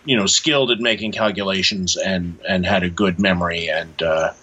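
An American man speaks as an interview guest, explaining things thoughtfully and haltingly. His voice is low and husky with a bright, forceful edge. The sound is a little dull, like a remote call. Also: steady tone. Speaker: cartoonist and author, interview guest. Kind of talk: conversational interview answers.

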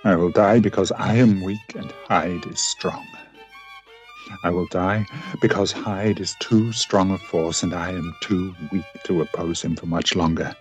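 Man playing deep, slow, tormented Victorian doctor. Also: steady tone, faint music behind. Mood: anguished, remorseful. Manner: solemn, halting. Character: tormented Victorian gentleman doctor, despairing, remorseful. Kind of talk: radio play dramatic monologue.

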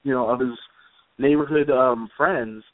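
Man talking haltingly over a phone-like line. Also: medium pitch, fairly even intonation. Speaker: guest, comic book author. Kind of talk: casual interview answers. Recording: roomy, phone-like internet radio call.

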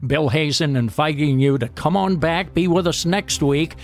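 A man hosting a sports radio show, asking questions and signing off in a measured, courteous way. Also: a little high. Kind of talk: radio interview and sign-off.

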